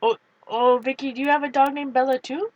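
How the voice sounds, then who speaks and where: A woman's voice: high voice, fairly even intonation, fairly quick, dry recording, muffled recording. A woman with a Canadian accent tinged by Minnesota, chatting in a rambling way to viewers as she flips through her art journals from her couch. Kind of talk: casual chat while flipping through art journals. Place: home, on a couch.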